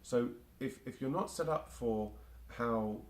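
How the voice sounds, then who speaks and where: A man's voice: mid-range voice, takes it slowly. A man in his forties with a London accent, answering interview questions with frequent fillers and restarts. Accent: London English. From England. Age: 40s. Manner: hesitant, many restarts and fillers. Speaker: marketing automation specialist, guest.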